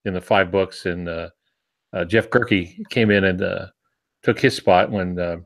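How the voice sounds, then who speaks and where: A man with a low voice talks casually as an author on a writing podcast. His delivery is halting and rambling, with frequent pauses as he explains things. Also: steady tone, creaky. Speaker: novelist and podcast panelist. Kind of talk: casual podcast chat about books and writing.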